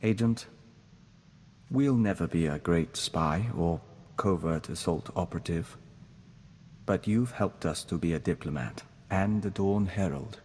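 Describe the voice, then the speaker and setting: A man voicing a gentle, devoted diplomat of an insect hive mind, formal and measured, in a video game. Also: deep voice. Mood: sincere, grateful. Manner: formal, measured, speaks of himself as we. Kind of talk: scripted video game dialogue acting. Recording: long reverb, noisy game ambience.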